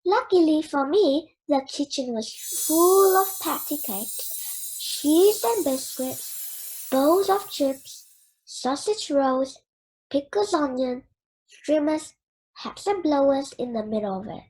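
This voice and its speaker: A child reading a children's storybook aloud in an expressive storytelling style. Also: high voice, slow pace, poor audio. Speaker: children's book reader.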